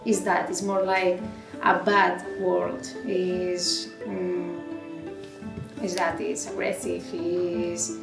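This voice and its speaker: A native Spanish-speaking woman talking unscripted in an interview, halting as she searches for words. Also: on the low side, completely flat delivery, creaky. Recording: roomy echo with music behind.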